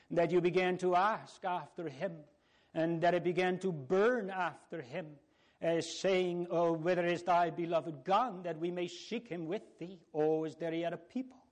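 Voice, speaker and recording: Male preacher, higher-pitched voice, very slow halting delivery, solemn and exhortatory. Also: a little mellow. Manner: exclamatory "oh" openings, rhetorical questions. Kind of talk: solemn sermon.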